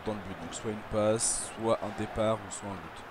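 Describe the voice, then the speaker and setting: A man's voice: fairly low pitch, steady tone, normal speed, very noisy. A man with a cold, chatting loosely through gameplay commentary with a stuffy, coughing voice. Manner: rambling, chatty, self-interrupting. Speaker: gaming video host.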